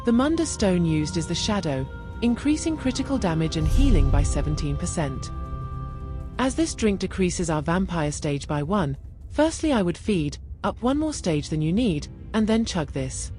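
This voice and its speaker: A woman narrating a scripted gaming build guide in a measured, explanatory way. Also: on the low side, very animated. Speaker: gaming video creator and narrator. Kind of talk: game build guide voice-over. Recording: music behind the voice. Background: music.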